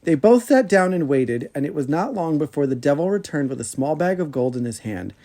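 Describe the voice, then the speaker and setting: A man with a slightly high-set voice reads an old folk tale aloud as a podcast host. His narration is expressive and storybook-like, with a chatty, informal footing. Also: a little fast. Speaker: podcast host reading fairy tales aloud.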